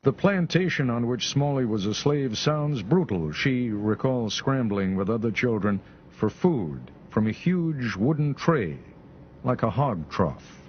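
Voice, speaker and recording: A man's voice: mid-range voice, lively intonation, measured pace. Male documentary narrator on a dull recording. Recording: dull archival clip with faint noise.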